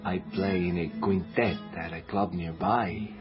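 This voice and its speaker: An actor playing a suave, sentimental Cuban trumpeter and seducer with a Spanish accent and florid, wooing manner in a radio drama. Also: male voice, low-pitched, steady tone, a little slow. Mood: seductive. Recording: dull lo-fi radio sound under music.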